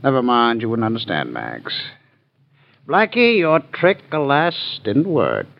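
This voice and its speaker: Smug, suave radio crime boss, swooping delivery. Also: male voice, medium pitch, wildly swooping pitch, creaky, exaggerated voice. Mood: gloating. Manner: urbane, mocking, deliberate phrasing with chuckles. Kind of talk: 1940s radio crime drama acting. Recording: dull 1940s radio recording.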